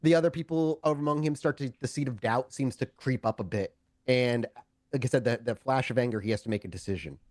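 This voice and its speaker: A man's voice: medium pitch, a little fast, no echo, clean studio sound. A man narrating a tabletop game as game master, improvising with plenty of profanity. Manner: profane, animated storytelling.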